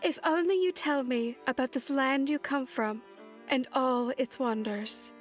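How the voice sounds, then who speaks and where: A sheltered, kindly noblewoman of dark fantasy audio drama. Her voice is high, and her manner is courtly and earnest. Faint music plays behind her. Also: measured pace. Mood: eager, gracious.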